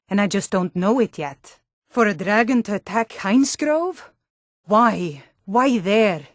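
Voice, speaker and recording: A stern warrior woman of the city watch, acted by a woman for a fantasy video game. She is blunt and guarded, speaking plainly at a middling pitch. The recording is close and very dry. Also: no echo, natural voice. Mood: wondering. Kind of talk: video game character voice lines.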